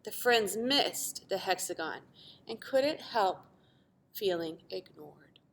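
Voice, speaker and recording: A woman's voice: mid-range voice, lively intonation, slow pace, slight background noise. A woman reading a children's picture book aloud like a teacher, with dramatic emphasis and asides to young listeners. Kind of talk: expressive children's storybook read-aloud.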